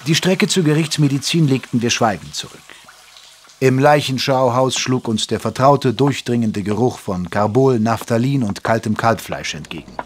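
A man plays a composed gentleman doctor narrating a detective audio drama. His mid-pitched voice is measured and literary, with a dryly amused edge. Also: expressive, a little hiss or hum, close to an everyday voice. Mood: sombre narration. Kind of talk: scripted first-person narration in German audio drama.

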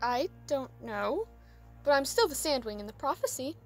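Woman acting a high-voiced, earnest young dragon. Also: big pitch swings, moderate pace, faint music behind. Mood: hesitant. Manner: earnest, hesitant. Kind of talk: fan audio drama acting.

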